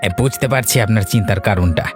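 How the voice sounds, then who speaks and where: A man's voice: lowish voice, fast talker, over music, natural voice. A man playing a sly, ingratiating henchman who schemes for a rich boss in a cartoon story. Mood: eager, ingratiating. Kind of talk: Bengali cartoon story acting.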